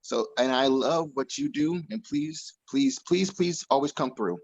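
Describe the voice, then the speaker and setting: A man's voice: medium pitch, a little slow, creaky, darkish tone, breathy edge, muffled recording. A man performing spoken word poetry, delivering it as a recital. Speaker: poet.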